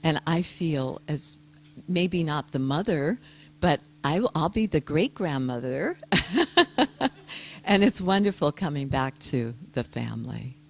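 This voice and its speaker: Soft-spoken older woman, low and slow. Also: lively intonation. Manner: soft-spoken, gentle, reflective. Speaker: guest speaker. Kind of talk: heartfelt personal talk to an audience.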